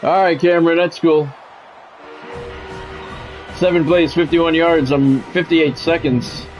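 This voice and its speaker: A man's voice: on the high side, fairly even intonation, takes it slowly. Man calling sports game action, music behind. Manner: radio-style play-by-play calls in short clipped phrases, with salty asides. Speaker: gaming streamer and play-by-play commentator. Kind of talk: live sports video game commentary mixed with casual chat.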